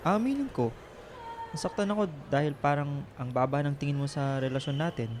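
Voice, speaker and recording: A man's voice: a little high, brisk, slight background noise, lightly acted. A man playing a devoted, patient young boyfriend in a radio romance drama, with a soothing, coaxing way of talking. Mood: forgiving, tender.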